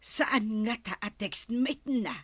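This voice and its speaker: An actress playing a nosy, teasing village wife in an Ilocano radio comedy drama. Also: female voice, fairly high pitch, slow pace, no echo, studio quality. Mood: curious.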